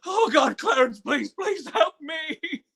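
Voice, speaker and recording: Man playing emotional family man, stammering, high pitch, sharp bright tone, radio drama. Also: sing-song, slow pace, vocal fry, heavily acted. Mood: desperate, anguished. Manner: stammering, impassioned, breathless pleading. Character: frantic, despairing then overjoyed family man. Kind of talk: live radio play acting.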